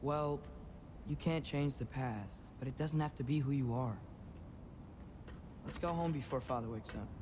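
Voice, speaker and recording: A man's voice: medium pitch, moderate pace, long pauses, background noise, close to an everyday voice. An actor playing an earnest, headstrong teenage boy adventurer in a video game cutscene. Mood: earnest, concerned.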